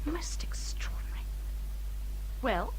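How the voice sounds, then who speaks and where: An English actress playing a self-important young wife in a period radio drama, with a whining, indignant manner. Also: female voice, takes it slowly, in a whisper, natural voice, low-quality recording. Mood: affronted.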